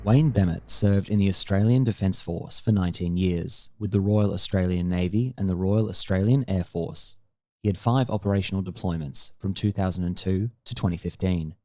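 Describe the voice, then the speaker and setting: A man hosting a podcast, reading a measured, scripted introduction. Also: low voice, warm tone, no room sound. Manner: measured, formal read-out introduction. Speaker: podcast host. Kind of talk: scripted narration.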